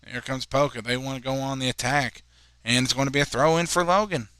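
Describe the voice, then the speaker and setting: A man in his twenties calling a high school soccer game, chatting in rambling asides between plays. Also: fairly even intonation, a little fast, a bit bright, clean tone, dry recording. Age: 20s. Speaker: play-by-play commentator.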